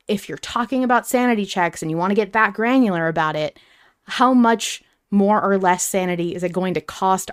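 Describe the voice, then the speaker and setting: A woman hosting a fan podcast, talking casually in a candid, analytical way and swearing now and then. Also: lowish voice. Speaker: podcast host.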